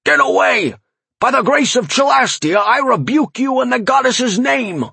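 A man's voice: very high-pitched, animated, moderate pace, gravelly, pushed and bright, slightly rough, dry recording, pitched above the performer's own voice, full character voice. A man voicing a frightened, hostile, superstitious farmer in a fantasy audiobook, crying out piously and accusingly. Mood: hostile, righteous.

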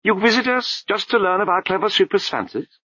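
An actor playing an ancient male abbot in the body of a babbling toddler, squeaky and imperious. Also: expressive, fairly quick, bright, forceful tone, breathy edge, dry recording, dull audio, full character voice. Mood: amused imperious.

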